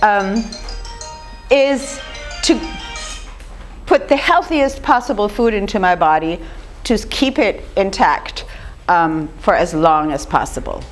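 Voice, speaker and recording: An older woman with a slightly rough voice gives an informal lecture, talking conversationally and putting questions to her audience. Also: mid-range voice, animated, slow, pauses often, soft background music. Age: 50s or older. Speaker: speaker giving a lecture on raw food.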